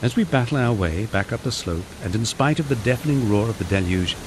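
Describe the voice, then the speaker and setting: A man narrating a first-person memoir from a script, with a husky voice and a wry storytelling manner. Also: fairly low pitch, expressive, a little fast, background noise. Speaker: audiobook narrator.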